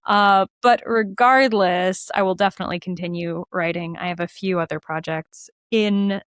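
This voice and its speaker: Upbeat woman with a clear, smooth voice. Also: fairly low pitch, fairly even intonation, normal speed, no room sound, studio quality. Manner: upbeat, chatty. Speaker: podcast guest, writer and performer. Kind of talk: casual interview chat.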